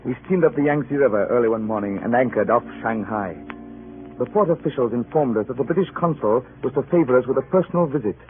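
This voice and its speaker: An actor playing a beleaguered, formal ship's captain in 1940s radio drama, speaking earnestly and deliberately. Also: male voice, mid-range voice, faint music behind, lightly acted. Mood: grave, weary. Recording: old narrowband radio recording with clear noise.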